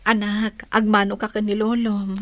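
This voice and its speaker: A woman's voice: medium pitch, little pitch movement, normal speed, no room sound, natural voice. A woman playing a weary, troubled young mother in a radio drama, with emotive, sighing delivery. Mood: gentle. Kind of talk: Philippine radio drama acting.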